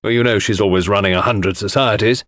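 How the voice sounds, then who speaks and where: A man voicing an exasperated, outspoken English country gentleman with slangy upper-class talk in an audiobook. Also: fairly low pitch, steady tone, quick pace, dry recording, studio quality, lightly acted. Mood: indignant. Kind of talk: audiobook character dialogue.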